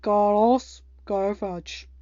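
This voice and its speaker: A woman's voice: medium pitch, fairly even intonation, very slow, warm tone, no room sound. A woman voicing a laid-back, teasing young man in a story, offhand in manner, on a slightly dull recording.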